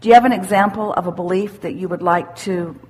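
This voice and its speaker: A woman giving spiritual self-help teaching to a questioner, assured and deliberate, repeating phrases for emphasis. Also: medium pitch, a little mellow, husky. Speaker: speaker leading a question-and-answer workshop.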